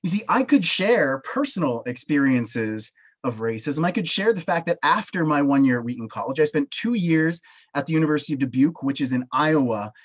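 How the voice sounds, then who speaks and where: A man's voice: a little high, expressive, fairly quick, husky. A man in his thirties with a Canadian accent, a panelist telling his own experience on a video call from a small home office. He speaks earnestly and with emphasis. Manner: earnest, emphatic, gestures with both hands. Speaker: panelist, professor. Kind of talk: personal testimony on a video call. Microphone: webcam on a video call.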